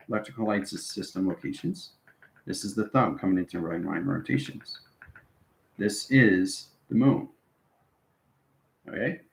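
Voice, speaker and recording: A man giving an informal spiritual lesson to viewers in fragmented, jargon-heavy phrases, often checking in with short tag words. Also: on the low side. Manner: esoteric jargon in fragmented phrases with frequent check-ins like "okay" and "right". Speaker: online spiritual teacher. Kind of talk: informal explanatory demonstration to viewers. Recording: roomy, echoing sound.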